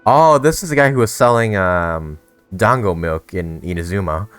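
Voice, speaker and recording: A man's voice: low-pitched, animated, normal speed, fairly bright tone, soft background music. A man giving casual, joking commentary as he reacts to a game he is playing. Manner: joking, giggly. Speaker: gaming content creator.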